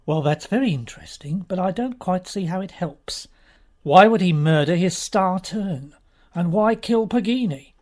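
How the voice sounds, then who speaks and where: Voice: medium pitch, animated, moderate pace, a little mellow, natural voice. Dry, weary police inspector played by an actor. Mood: sceptical. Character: weary, exasperated police inspector questioning a witness, male. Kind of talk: stage-style radio murder mystery acting.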